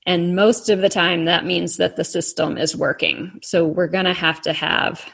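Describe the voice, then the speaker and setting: Gravelly low-voiced woman author. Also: fairly even intonation, normal speed, a bit bright. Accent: faint Texas. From Texas. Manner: friendly, explains patiently to a child. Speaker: author guest. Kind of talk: casual interview chat.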